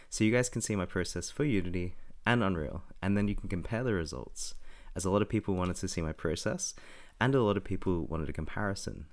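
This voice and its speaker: A young man, a little raspy from being sick, walking through a software tutorial in a casual, self-correcting way. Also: deep voice. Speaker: tutorial host. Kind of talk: screen-recorded software tutorial.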